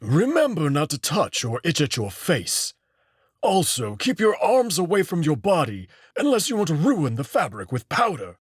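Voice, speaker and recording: A man's voice: on the high side, wildly swooping pitch, normal speed, slightly rough, no echo, clean studio sound, pitched above the performer's own voice, heavily acted. A man voicing a fussy, curt old male wolf makeup artist who gives clipped, bossy orders in a visual novel reading. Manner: clipped, bossy, polite commands.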